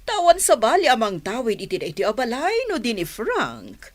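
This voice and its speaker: A woman playing an elderly mother welcoming her son's wife, in Filipino audio drama style with deliberate, emotive delivery. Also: a little high, wildly swooping pitch. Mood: fond, approving.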